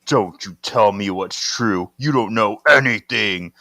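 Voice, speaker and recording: A man's voice: lowish voice, unhurried, sharp tone, no room sound. A man voicing a scornful, menacing woman possessed by an ancient being.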